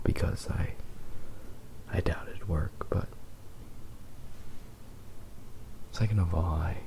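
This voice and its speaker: A man playing a possessive, doting fox-boy husband in an ASMR roleplay, murmuring intimately and haltingly. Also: deep voice, creaky, rough recording. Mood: tender, dreamy.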